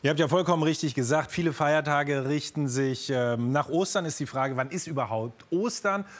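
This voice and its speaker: A man's voice: on the high side, normal speed. Male quiz show host explaining answers. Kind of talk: unscripted quiz hosting and explaining.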